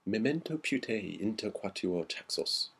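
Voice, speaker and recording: Man playing a husky, chatty, puzzled schoolboy. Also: lowish voice, sing-song, normal speed, fairly bright tone, full character voice. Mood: evasive, casual. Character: schoolboy recounting an odd episode, puzzled and earnest. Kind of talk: audiobook character dialogue in a ghost story.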